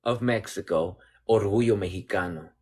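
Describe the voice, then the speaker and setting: A man's voice: fairly low pitch, normal speed, darkish tone, slightly rough. A man speaking earnestly and measuredly as a guest in a discussion, slipping Spanish phrases into his English. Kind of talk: casual discussion.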